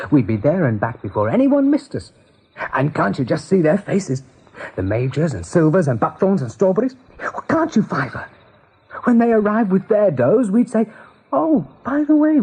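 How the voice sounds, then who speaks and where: An actor playing a bold, stubborn young male rabbit leader in a radio play, brisk and self-assured. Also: high-pitched, wildly swooping pitch, darkish tone, slightly rough, full character voice, poor audio. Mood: boastful, excited. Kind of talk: radio drama acting.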